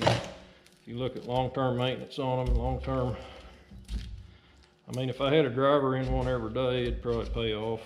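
Middle-aged tradesman, casual self-deprecating ramble, ordinary pitch, body-mounted camera mic in a noisy workshop. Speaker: excavation business owner. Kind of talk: casual talk while working. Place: metal workshop, indoors.

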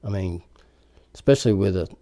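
A man's voice: deep voice, brisk, warm tone. A man chatting casually as a podcast guest, laid-back and plain-spoken. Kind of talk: casual chat about golf.

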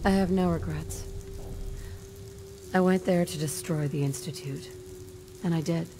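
A low-pitched, ruthless woman commander in a dramatic video game. Her delivery is blunt and cold, with no sentiment. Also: fairly even intonation, measured pace, background noise. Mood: cold, defiant. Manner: blunt, unsentimental.

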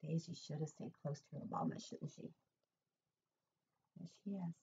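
A woman's voice: deep voice, fairly even intonation, normal speed, warm tone, husky, highs cut off. An older woman, a preschool teacher, reading a picture book expressively to young children and asking simple questions, recorded indoors at home. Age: older, 60s or above.

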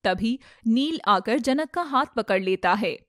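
A woman reading scripted narration as the storyteller of an animated fairy tale. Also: on the high side, unhurried, no echo, clean studio sound.

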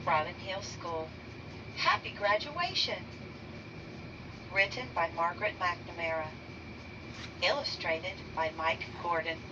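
Slow, lively woman reading a picture book. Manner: slow, deliberate reading with raised pitch for quoted lines. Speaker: read-aloud narrator. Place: bedroom, indoors. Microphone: phone camera held over the book. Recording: dull lo-fi sound from a distance with noise.

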